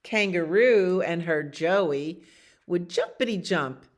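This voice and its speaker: A woman reading picture books aloud as a children's library storyteller, expressively, with casual asides about the pictures. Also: on the low side. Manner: expressive reading with acted animal sounds and pauses to comment on pictures. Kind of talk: read-aloud bedtime stories with casual asides.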